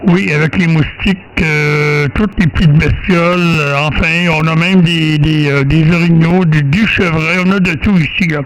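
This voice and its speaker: An older man with a Québécois French accent chats casually over amateur radio in a halting, rambling way with drawn-out pauses. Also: a little high, fairly even intonation, poor audio. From Quebec, Canada. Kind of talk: casual radio contact chat. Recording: amateur radio audio with faint noise.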